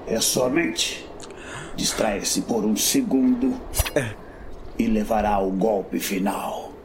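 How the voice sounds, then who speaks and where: A stern warrior mentor from a fantasy audio drama , acted by a Brazilian man, teaches in a low voice. The delivery is solemn and very slow. Clear background ambience surrounds the voice. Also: slight background noise.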